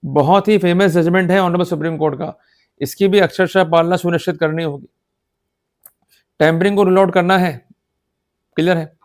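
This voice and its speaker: Higher-pitched Indian man lecturing emphatically. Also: steady tone, moderate pace, long pauses. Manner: emphatic, exhorting, checks understanding often. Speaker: law lecturer. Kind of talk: instructional law lecture in Hindi-English mix.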